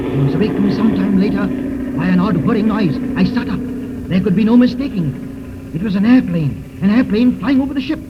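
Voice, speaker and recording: A man's voice: high voice, close to an everyday voice. An actor playing a tense, resolute detective who narrates his case theatrically in a 1930s radio mystery serial. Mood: urgent, excited. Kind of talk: 1930s radio drama first-person narration. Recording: muffled, noisy 1930s radio recording.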